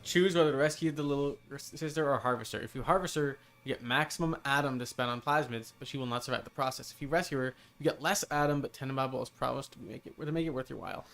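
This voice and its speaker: A man's voice: medium pitch, quick pace, gravelly, hardly any pauses, fairly bright tone. A man giving casual live commentary as he streams a video game and reads its prompts.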